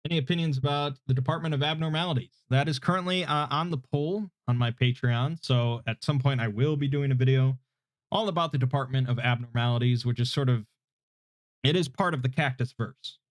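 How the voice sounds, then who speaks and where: A calm man in his thirties chats through viewer questions from home, hedging and joking at his own expense. His voice is relaxing and mid-pitched. The desk mic sounds a little muffled, in a dry room with no echo. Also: a little fast. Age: 30s. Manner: relaxed, hesitant, self-deprecating, many fillers. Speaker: YouTube host answering viewer questions. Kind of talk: casual live Q&A chat. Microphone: desk microphone without his usual equalizer, slightly muffled.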